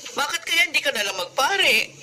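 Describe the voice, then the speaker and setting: A woman's voice: high voice, very animated, moderate pace, background noise. An actress playing a caring middle-aged woman who runs an eatery and teases the young people around her.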